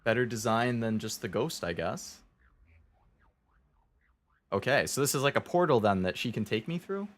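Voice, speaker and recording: A man's voice: medium pitch, lively intonation, normal speed, long pauses, a bit bright. A man giving casual, conversational commentary as he streams a video game, musing as he goes. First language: English. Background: game music and dialogue.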